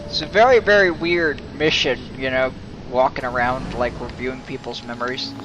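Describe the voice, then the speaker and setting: A man's voice: mid-range voice, steady tone, moderate pace. A man giving casual live gameplay commentary as a streamer, chatting to his viewers. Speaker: gaming streamer. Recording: dull, noisy stream audio.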